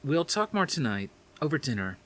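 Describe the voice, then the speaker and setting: A pouty, insistent woman, pressing her point, as a male narrator voices her in an audiobook. The voice is raised high above his own. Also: big pitch swings, moderate pace, fairly bright tone.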